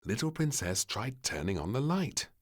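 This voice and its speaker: A man narrating a children's storybook in an expressive, theatrical and measured way. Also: medium pitch.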